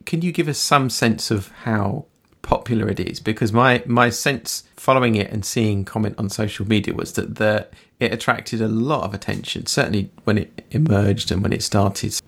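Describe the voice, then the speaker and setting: A middle-aged man hosting a podcast, asking measured, thoughtful interview questions in a soft-spoken way. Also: fairly low pitch, creaky, breathy edge. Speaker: podcast host.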